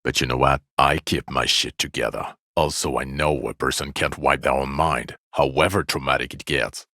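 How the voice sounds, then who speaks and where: A bitter, world-weary policeman with an exceptionally deep voice. It crackles with gravel and sits close and dry. He scolds with heavy sarcasm and open contempt, like a partner who is utterly fed up. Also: steady tone, moderate pace, sharp tone, no room sound, exaggerated voice. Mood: scornful, exasperated. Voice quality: gravelly, low. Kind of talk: video game character voice acting.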